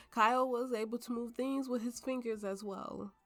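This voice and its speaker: A woman playing a tipsy, haunted woman with magical powers, telling her story slowly and haltingly in an intimate ASMR roleplay over music. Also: on the high side, fairly even intonation. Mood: fond. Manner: halting, drunken rambling with frequent laughs. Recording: voice over soft background music.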